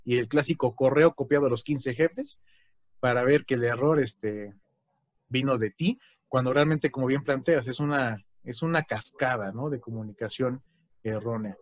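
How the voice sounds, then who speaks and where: A man's voice: mid-range voice, moderate pace, no room sound, clean studio sound. A man with a Mexican Spanish accent hosting a casual conversation, chatty, with frequent fillers and tag questions. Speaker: host.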